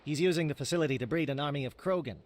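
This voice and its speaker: Man playing a fast-talking stern alien captain. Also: fairly high pitch, close to an everyday voice. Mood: urgent briefing. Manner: clipped, urgent, formal military delivery. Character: brisk alien military captain, male, stern and resolute. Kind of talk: video game voice acting.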